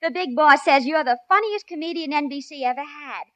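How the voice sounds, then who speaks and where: Bright, high-voiced sassy receptionist. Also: female voice, a little fast, no echo. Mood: breezy, sassy. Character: breezy, sassy female network switchboard receptionist. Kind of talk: 1950s radio comedy acting.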